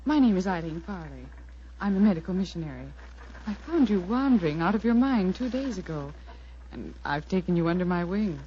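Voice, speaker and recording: A kindly young American missionary in a radio play. Her voice is fairly low, poised and reassuring. The old recording sounds muffled. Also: big pitch swings, brisk, warm tone, a little hiss or hum. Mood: gentle, reassuring. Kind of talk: 1940s radio acting.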